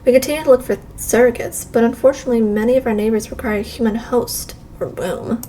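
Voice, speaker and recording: A woman's voice: on the high side, steady tone, quick pace, dark tone, slight background noise, lightly acted. A woman voicing an earnest reptilian resistance leader, formally addressing a meeting in a game read-aloud. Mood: grave.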